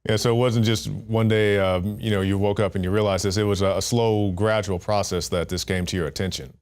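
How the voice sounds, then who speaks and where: Low-voiced male news interviewer. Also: steady tone, darkish tone. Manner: measured, reads scripted intro then asks questions.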